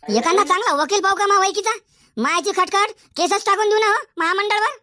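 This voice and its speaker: A man's voice: extremely high pitch, brisk, bright, forceful tone, no room sound, clean studio sound. A man voicing a scrappy, hot-headed male villager in a comedy cartoon, brash in manner, with a Varhadi Marathi accent. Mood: combative. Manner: brash, combative.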